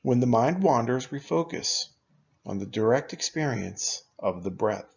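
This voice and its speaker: A man leading a guided meditation as a teacher, speaking in a calm, unhurried, instructional way with long pauses. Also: mid-range voice, creaky, husky, muffled recording.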